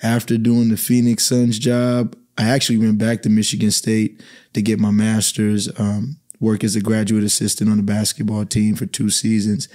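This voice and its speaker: Bahamian guy, low mellow flat voice, calm podcast guest. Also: normal speed. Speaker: basketball coach, podcast guest. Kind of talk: podcast conversation. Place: small podcast studio. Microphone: studio mic on boom arm.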